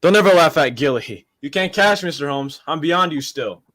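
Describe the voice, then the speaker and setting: A man's voice: on the high side, expressive, moderate pace, background noise, close to an everyday voice. A man playing a deranged, power-mad village postman and bell ringer in a radio drama, with a rustic, menacing manner. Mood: defiant, menacing. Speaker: amateur actor in a student radio drama. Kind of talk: 1940s radio drama acting.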